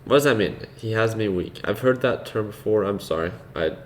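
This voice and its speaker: A man hosting a live trading stream, chatting casually with viewers in a relaxed, unhurried way, with a soothing voice and plenty of fillers. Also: on the low side, a little hiss or hum. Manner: relaxed and unhurried, laughs easily, many fillers. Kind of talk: casual live-stream commentary, reading chat.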